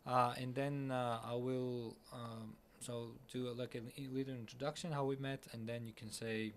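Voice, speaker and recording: A man's voice: medium pitch, flat delivery, normal speed, a little hiss or hum. A young man hosting a casual podcast interview at a conference, enthusiastic, with many fillers and restarts. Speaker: podcast host. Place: busy conference hall.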